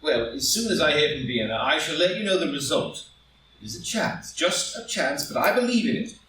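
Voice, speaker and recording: A man's voice: fairly high pitch, expressive, brisk, bright, forceful tone. A man playing a proud, anxious married statesman who fears scandal, earnest and melodramatic, in a stage drama reading. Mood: determined, urgent. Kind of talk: live read-through of a stage play as audio drama. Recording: very echoey room, distant mic, faint noise.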